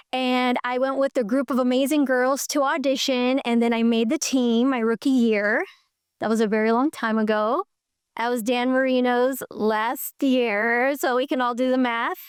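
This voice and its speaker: Clear, higher-voiced woman telling her story. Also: no room sound, clean studio sound. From Colombia. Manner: animated, enthusiastic storyteller. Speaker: guest. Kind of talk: conversational interview storytelling.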